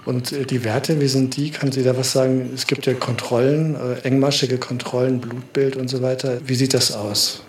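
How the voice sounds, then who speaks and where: A man's voice: medium pitch, little pitch movement, moderate pace, hardly any pauses, natural voice. A man playing a sceptical, probing reporter in a mock-documentary interview for radio drama. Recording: roomy echo, faint ambient noise.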